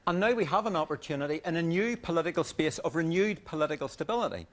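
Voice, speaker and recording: A man making a measured case as a panel guest in a political debate. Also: a little high, expressive. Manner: measured, insistent on finishing.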